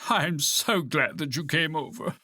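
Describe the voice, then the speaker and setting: A reader voicing an excitable toad in a children's storybook, exclaiming and pleading in an animated character voice. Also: medium pitch, normal speed, breathy edge, dry recording, pitched above the performer's own voice. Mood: relieved. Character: boastful then anxious, lonely toad.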